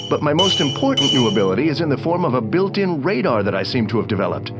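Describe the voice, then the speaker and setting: An actor playing a calm, self-assured young blind college man, mostly in inner monologue. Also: medium pitch, quick pace, loud music behind the voice, lightly acted. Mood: confident.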